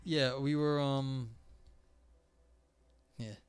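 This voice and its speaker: A young man talking loosely to his stream chat, full of restarts, filler words and half-finished thoughts. His voice is smooth and clear but starting to wear hoarse. The sound is dry and close, with no room echo. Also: medium pitch, steady tone, drawn-out words. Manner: rambling, many fillers and restarts. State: voice going hoarse. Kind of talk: casual live-stream chat.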